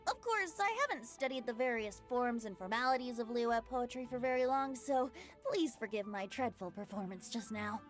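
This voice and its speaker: Carefree boy bard played by a woman, high and lively, lilting, charming, anime game. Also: moderate pace, few pauses, lightly acted. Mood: cheerful. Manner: lilting, poetic, playful. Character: cheerful, carefree boyish young male bard, playful and charming. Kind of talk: anime video game voice acting. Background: game music.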